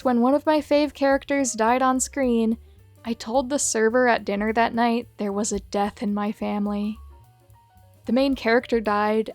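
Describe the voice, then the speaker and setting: Higher-voiced woman reading fan confessions. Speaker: host reading viewer submissions.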